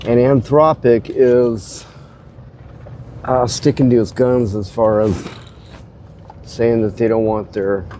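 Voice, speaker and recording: A man's voice: fairly low pitch, warm tone, slight background noise. A man hosting a casual talk show, rambling with hesitant restarts, his voice stuffy from a head cold. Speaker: host. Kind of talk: casual spoken commentary. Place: car.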